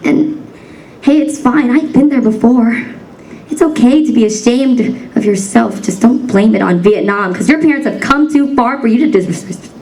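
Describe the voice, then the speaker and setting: A woman's voice: fairly high pitch, moderate pace, mellow, recorded from a distance, a little hiss or hum, poor audio. A young woman from the United States performing a spoken word poem in a rhythmic, rhyming cadence, emphatic and defiant. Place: banquet hall stage.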